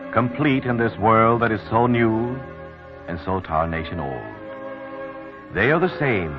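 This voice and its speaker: A man acting as the solemn, poetic, measured narrator of a 1940s radio drama. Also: lowish voice. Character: solemn poetic storyteller of a stranded town. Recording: muffled old radio recording, music behind.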